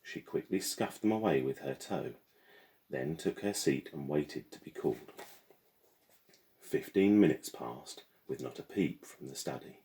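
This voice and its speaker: A man reading a children's novel aloud in expressive storybook narration. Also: very deep voice, measured pace. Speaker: teacher reading aloud to pupils.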